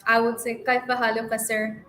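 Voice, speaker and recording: A young woman from Bangladesh answers speaking exam practice questions in a bright, somewhat high voice. She talks fluently and catches herself now and then with quick self-corrections. The sound rings with the echo of the room. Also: completely flat delivery. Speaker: IELTS practice candidate. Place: livestream.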